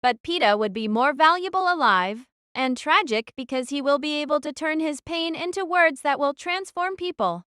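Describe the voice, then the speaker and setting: A woman's voice: sits high, moderate pace, few pauses, a bit bright, dry recording, clean studio sound. A woman playing a defiant, brooding teenage girl who narrates her own story in an audio drama. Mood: resolute, grave. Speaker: narrator and lead actress.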